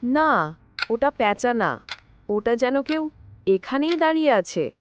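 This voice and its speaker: High, expressive young woman acted by a woman. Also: a little slow, lo-fi sound, slight background noise. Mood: uneasy wonder. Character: curious, uneasy young woman exploring a haunted palace. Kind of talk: animated horror story dialogue.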